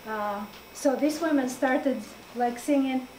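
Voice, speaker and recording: Halting woman from Morocco, echoey room. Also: high voice, mellow. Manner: halting, many fillers, laughs often. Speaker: guest presenter. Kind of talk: informal talk to an audience. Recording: echoey room, distant mic, faint noise.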